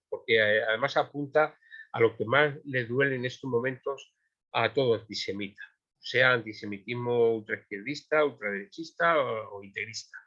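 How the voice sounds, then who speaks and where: A man's voice: mid-range voice, fairly bright tone, highs cut off. A man making an emphatic argument as a guest in an online panel, with many fillers.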